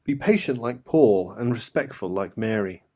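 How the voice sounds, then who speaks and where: A man's voice: fairly low pitch, expressive, moderate pace, warm tone. A man voicing an upset little girl in a storybook, telling it earnestly.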